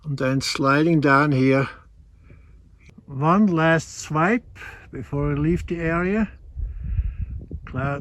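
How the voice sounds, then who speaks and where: A man with a Swiss accent, explaining geology outdoors on a mountain hike in a measured, teaching way. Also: fairly high pitch, fairly bright tone. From Switzerland. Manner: measured explanatory, slightly non-native phrasing. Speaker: hiking geology guide. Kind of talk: outdoor geology field narration.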